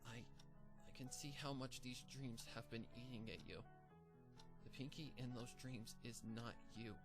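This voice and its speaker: A man voicing a shaken but caring female pony scholar who speaks softly and stammers a little, read over background music. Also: mid-range voice, unhurried, lightly acted. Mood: comforting. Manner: soft, shaky, stammering.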